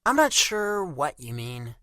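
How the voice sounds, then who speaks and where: A man's voice: on the high side, sing-song, measured pace, dry recording, clean studio sound, pitched above the performer's own voice, full character voice. A man voicing an irritated young American woman who talks bluntly and with sarcastic bite. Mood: angry. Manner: sharp, sarcastic, cutting.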